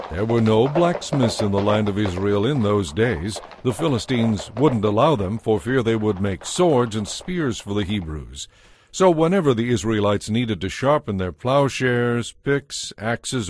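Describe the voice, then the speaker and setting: A man narrating a dramatized scripture audiobook in a scripted, storytelling style. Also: fairly low pitch.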